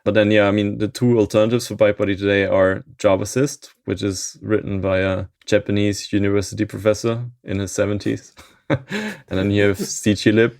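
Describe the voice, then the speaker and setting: A man in his thirties, a software maintainer being interviewed, talking in a thoughtful way and chuckling often mid-sentence. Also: low voice, vocal fry, no echo, studio quality. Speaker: open source maintainer, interview guest. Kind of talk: casual interview chat.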